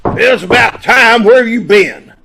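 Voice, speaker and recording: An actor playing an irritated, blustery police inspector in 1940s radio style. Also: male voice, very high voice, animated, takes it slowly, vocal fry, full character voice. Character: gruff-tempered, irritated male police inspector. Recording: noisy video-call audio.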